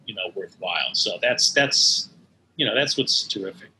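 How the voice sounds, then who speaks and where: Bright-voiced mature man, halting delivery. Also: low voice, animated, slight background noise, rough recording. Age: middle-aged or older. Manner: halting, thoughtful, searching for words. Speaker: interview guest, animation studio head. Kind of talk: conversational interview, reminiscing.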